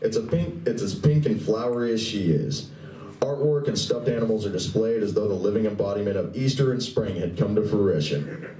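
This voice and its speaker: A man's voice: fairly low pitch, a little fast, mellow, close to an everyday voice. A man narrating a scripted live radio play as a wry storyteller. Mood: descriptive. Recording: roomy live venue, distant mic, faint noise.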